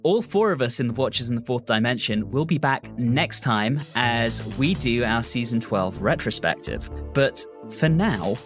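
A British man hosting a podcast, giving his review and chatting in a measured, articulate way. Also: mid-range voice, animated, over music. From Britain. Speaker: podcast host.

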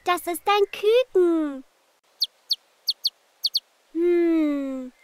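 A woman's voice: very high voice, music in the background, heavily acted. A woman voicing a cheerful little girl adventurer in a preschool cartoon, speaking simply and encouragingly to young viewers. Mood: curious, caring. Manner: slow, simple, encouraging delivery.

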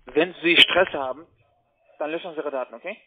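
A man making a cold sales call by phone, with halting, non-native German phrasing. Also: on the high side. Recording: tinny narrowband phone line.

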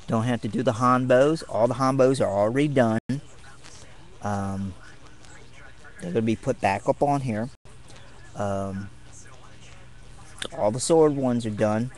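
A young man chatting casually to camera from a tent while camping, halting often with ums and pauses. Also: mid-range voice. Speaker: martial arts vlogger. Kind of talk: rambling casual vlog.

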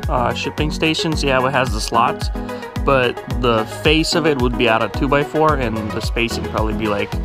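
A young man giving an informal walk-through, thinking aloud into a handheld action camera in a large warehouse. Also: medium pitch. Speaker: vlogger, small business owner. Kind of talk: casual walk-through vlog narration. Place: large metal warehouse, indoors. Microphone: handheld action camera at arm's length.

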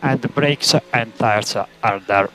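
A man's voice: lowish voice, takes it slowly, fairly bright tone. Male race engineer on noisy team radio. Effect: team radio transmission. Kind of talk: terse instructions over team radio.

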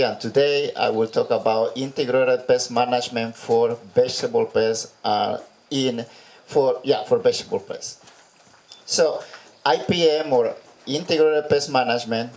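A man's voice: mid-range voice, darkish tone, slight background noise. Honduran-accented man giving an outdoor talk. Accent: Honduran Spanish. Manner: patient, explanatory, with a microphone. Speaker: extension entomologist. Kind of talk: outdoor field day presentation. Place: large open tent outdoors. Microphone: handheld microphone over PA speakers.

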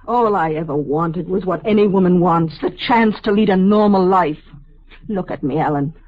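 A woman's voice: on the low side, normal speed, no echo, natural voice. An actress playing an anguished, resolute telepathic woman confronting her lover in a vintage American radio drama. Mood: bitter anguish. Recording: dull, band-limited old radio recording.

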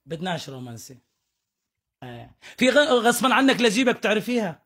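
A man's voice: sits high, sing-song, fast talker, a bit bright. A man, chatting and teasing on a live video call, breaking into bits of songs. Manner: teasing, bursts into sung snatches of songs. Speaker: live stream co-host. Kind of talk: casual live video chat. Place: small room indoors. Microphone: earphone mic on a video call.

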